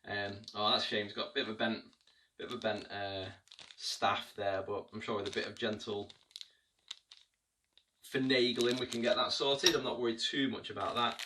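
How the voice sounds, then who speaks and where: A man's voice: fairly low pitch, fairly even intonation, moderate pace, recorded from a distance, background noise. A man giving a casual unboxing review of tabletop miniatures, chatty and hesitant, with many ums and restarts.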